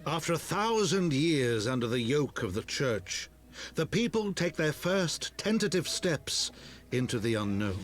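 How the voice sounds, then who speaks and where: An actor voicing a grand, solemn chronicler of heroic legend in scripted video game narration. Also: male voice, fairly high pitch, big pitch swings, measured pace. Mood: solemn, hopeful. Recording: music under the voice.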